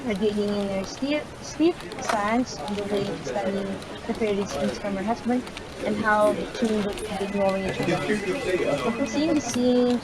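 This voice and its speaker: A woman's voice: mid-range voice, rough recording. A woman reading a visual novel's first-person story aloud, sometimes stumbling over words.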